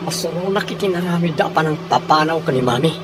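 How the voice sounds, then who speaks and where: An actor plays a concerned grown son in Ilocano radio drama. His rather high male voice has an Ilocano accent and a determined tone, with vehicle noise clearly behind it. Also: quick pace, close to an everyday voice. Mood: worried, determined.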